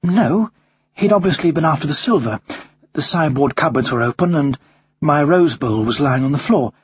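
A narrator voicing a gentle, steady mother who explains things carefully and soothingly in a storybook. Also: medium pitch, moderate pace, husky, highs cut off. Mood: gentle, serious.

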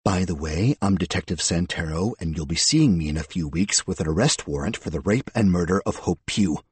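A man's voice: deep voice, lively intonation, a little fast, breathy edge, dry recording, exaggerated voice. A man voicing a scornful, coldly relentless female police detective who is sardonic and confrontational, in an audiobook story. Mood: cool, probing.

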